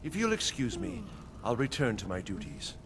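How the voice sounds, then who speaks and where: A man's voice: on the low side, wildly swooping pitch, normal speed, background noise. An actor playing a cautious, fussy male steward in a fantasy video game, formal and anxiously pleading. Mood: wary, formal.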